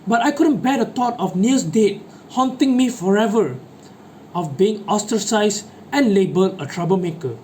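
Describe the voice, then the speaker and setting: A man's voice: high voice, lively intonation, normal speed, a little mellow. A man playing a guilt-ridden schoolboy, noisy recording. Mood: anguished, guilty. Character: guilt-ridden schoolboy defending his betrayal of friends. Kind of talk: dramatic monologue, reader's theatre. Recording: noticeable background noise.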